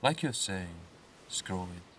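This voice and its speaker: Man, low pitch, unhurried pace, soothing guided-meditation narration, pauses between phrases, distant mic. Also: lively intonation, fairly bright tone, slight background noise. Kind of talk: slow scripted guided meditation with comic swearing.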